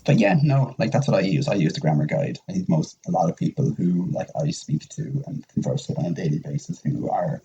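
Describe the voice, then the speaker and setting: A man's voice: deep voice, sing-song, fairly quick, vocal fry, few pauses, warm tone, highs cut off, slight background noise. A man explaining things in casual podcast chat, rambling a little and circling back over his points. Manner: rambling, repeats himself for emphasis. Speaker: podcast panelist.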